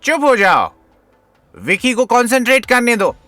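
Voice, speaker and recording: A man's voice: very high voice, very animated, moderate pace. A man playing a confident, stern coach who gives commanding instructions in an audio drama. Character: Rishiraj, confident, stern male coach of intimacy. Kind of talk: Hindi audio drama acting. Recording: music behind the voice.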